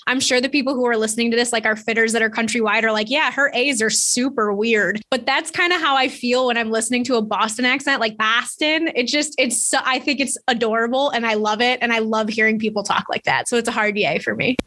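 Midwest woman podcast host, blunt and emphatic, bright high-ish voice. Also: fast talker, few pauses. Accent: Midwest American. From Chicago. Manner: blunt, emphatic, laughs easily. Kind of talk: casual podcast chat.

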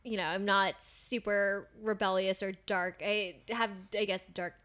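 A woman's voice: medium pitch, steady tone, measured pace, fairly bright tone. Nasal young woman, chatty guest. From Northern California. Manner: chatty, storytelling, self-deprecating. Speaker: podcast guest. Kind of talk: casual chat.

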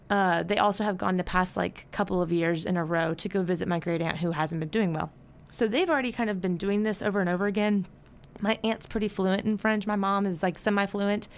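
A woman in her twenties from the United States, hosting a podcast and chatting casually in a rambling way with fillers and self-corrections. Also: lowish voice. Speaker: podcast host. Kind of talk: casual solo podcast chat.

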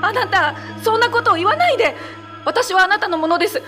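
A woman acts out a shapeshifting cat monster who lives as a loving wife. The voice is high and sharp, with eerie, emotional horror radio drama delivery over faint music. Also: animated, a little fast, heavily acted. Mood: tearful, pleading. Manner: eerie low chanting and tearful, beseeching dialogue.

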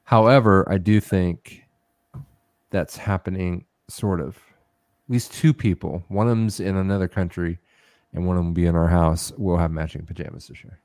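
A man's voice: deep voice, steady tone, moderate pace, a little mellow, no room sound. Middle aged guy cohosting a podcast, wry joking banter, dry close sound. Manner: wry, self-mocking banter. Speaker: podcast co-host. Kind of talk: casual chat.

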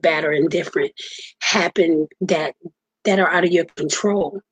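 A woman in her forties hosting her own podcast, telling her personal story earnestly with many pauses and fillers. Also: fairly low pitch, slightly rough, no room sound, poor audio. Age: 40s. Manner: earnest, halting with many ums. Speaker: podcast host. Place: home, just moved in.

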